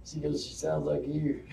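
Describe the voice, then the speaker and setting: A man with a gravelly voice, talking in a casual chat as host, with loud, excitable interjections. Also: fairly high pitch, recorded from a distance. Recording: roomy, from off mic.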